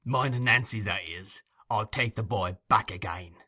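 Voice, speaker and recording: A man's voice: on the low side, normal speed, pushed and bright, breathy edge, full character voice. A man voicing a brutal, surly London criminal in an audiobook, blunt, curt and threatening. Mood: demanding. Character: gruff, menacing London thug, brutal and surly.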